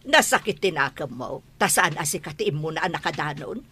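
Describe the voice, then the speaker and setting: A woman's voice: sits low, lively intonation, moderate pace, sharp tone, husky, dry recording, exaggerated voice. A woman playing an anxious but firm middle-aged rural mother in a radio drama, with an Ilocano accent. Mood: firm, chiding.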